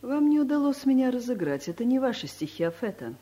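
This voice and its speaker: A woman's voice: on the high side, very animated, fast, mellow. A domineering female director in a radio play. She is formal, dry and categorical, giving blunt verdicts with strongly varied intonation. Her manner is imperious. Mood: dry, reserved. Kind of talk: radio play comedy acting.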